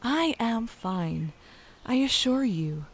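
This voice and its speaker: A woman playing a whimsical, sweet but stubborn young druid princess in a fantasy audio drama, gentle and airy. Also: a little high, expressive, takes it slowly, slight background noise. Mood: carefree, insistent.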